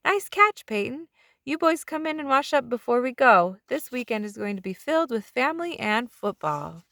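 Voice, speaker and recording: A friendly, encouraging storybook mother , voiced by a woman reading aloud. She calls out to children in a raised pitch. The recording is close and dry. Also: very animated, moderate pace, bright, forceful tone, no room sound, exaggerated voice. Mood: cheerful. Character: friendly mother and grandmother calling to boys.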